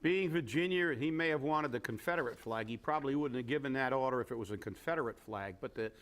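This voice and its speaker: A man hosting a local talk show, speaking haltingly with many fillers and restarts. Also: mid-range voice, a bit bright. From Fall River, Massachusetts. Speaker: host.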